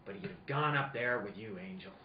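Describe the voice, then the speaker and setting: A man's voice: fairly low pitch, fairly quick, lightly acted. A man playing a tough, cynical private detective in a film-noir radio play, terse and sardonic. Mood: cold, accusing. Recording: roomy, distant, dull, faintly noisy recording.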